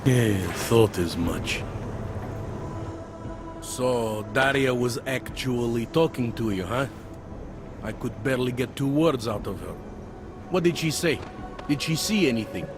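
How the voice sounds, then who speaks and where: An actor voicing a world-weary, pragmatic police detective in a video game, with a wry, insinuating manner. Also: male voice, mid-range voice, lively intonation, measured pace, pauses often, over music. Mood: probing. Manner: wry, insinuating, tags questions with "eh" and "huh". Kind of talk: video game cutscene dialogue.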